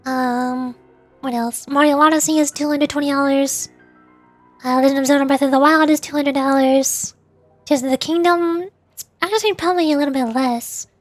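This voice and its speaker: A woman's voice: high-pitched, no room sound. A woman livestreamer chatting casually over a game in a playful, rambling way. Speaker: livestreamer, VTuber host. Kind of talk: casual chat while streaming a game. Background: faint music.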